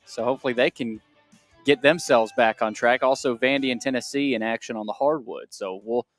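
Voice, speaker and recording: A man's voice: mid-range voice, fairly quick, soft background music. An American man co-hosting a radio show in a casual, conversational sports talk style. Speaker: radio co-host.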